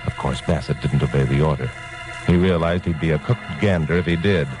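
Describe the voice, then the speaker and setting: Wry, tough newsman-detective, American, very deep voice, sardonic vintage radio style, music in the old recording. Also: brisk. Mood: wry, hardboiled. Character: wry tough male crime reporter narrating his case. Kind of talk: 1940s-50s radio detective acting, hardboiled narration.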